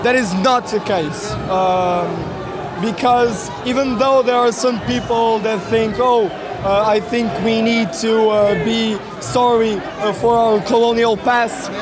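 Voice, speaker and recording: Male interviewee, extremely high register, hesitant phrasing, outdoors among a crowd. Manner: hesitant, halting delivery. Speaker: protester being interviewed. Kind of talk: street interview. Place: outdoors on a street at a protest. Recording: outdoor street with crowd behind. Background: crowd noise.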